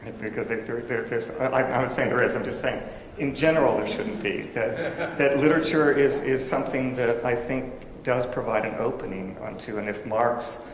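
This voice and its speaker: Guy talking at a conference panel, stops and restarts a lot, big reverby hall, bit of hiss. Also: mid-range voice. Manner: thoughtful, halting with repeated restarts. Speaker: academic panelist. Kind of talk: conference remarks. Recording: roomy hall with long reverb, faint noise.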